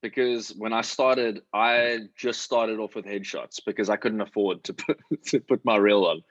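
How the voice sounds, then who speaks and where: A man's voice: on the low side, fairly even intonation, moderate pace, dry recording, dull audio. A man chatting casually as a working actor giving advice, talking in an emphatic, slangy way with plenty of swearing. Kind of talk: casual chat.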